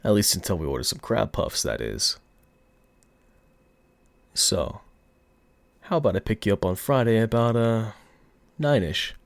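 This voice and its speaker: A man acting as a smug mafia boss with a low voice and a put-on gangster accent. He teases and taunts with seductive, very animated swings of pitch. The sound is close and dry, in an ASMR roleplay style. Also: gravelly, no echo. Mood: cocky. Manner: teasing, taunting, drawn-out seductive delivery. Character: cocky, flirty, smug male mafia boss.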